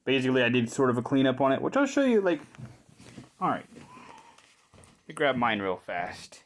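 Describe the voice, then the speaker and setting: A man giving a casual hands-on show-and-tell as a hobbyist repairer, thinking aloud in short, halting, informal phrases. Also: mid-range voice, very animated. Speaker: hobbyist repairer presenting his own video.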